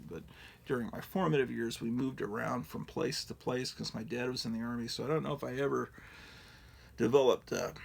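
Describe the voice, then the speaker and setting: A middle-aged man in a casual, rambling show-and-tell, profane and digressive with a self-mocking streak. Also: mid-range voice. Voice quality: gravelly.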